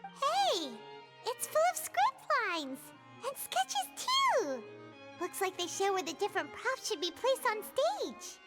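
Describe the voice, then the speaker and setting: An excitable little girl companion from a game. The voice is squeaky and extremely high, swinging wildly in pitch. Clear music plays behind it. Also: slow, heavily acted. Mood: excited. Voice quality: squeaky, childlike. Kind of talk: video game voice acting.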